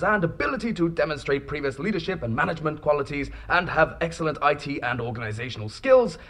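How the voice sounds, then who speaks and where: Chirpy, officious company bureaucrat, swooping pitch. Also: male voice, sharp tone, heavily acted. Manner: jargon-laden, brisk, gushing. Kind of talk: comedy audio drama acting.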